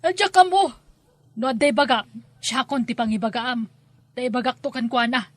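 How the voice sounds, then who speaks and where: Woman acting bitter, high-voiced Ilocano stepmother. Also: animated, moderate pace, dry recording. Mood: curt, evasive. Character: bitter, stubborn middle-aged wife and cold stepmother. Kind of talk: Ilocano radio-style drama acting.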